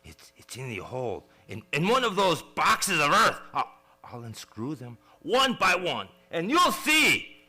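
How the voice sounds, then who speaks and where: An actor playing a terrified ship's mate on the edge of madness, stammering and agitated in an old-time radio play. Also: male voice, on the high side, huge pitch swings, measured pace, sharp tone, slightly rough, heavily acted. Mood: fearful, obsessed.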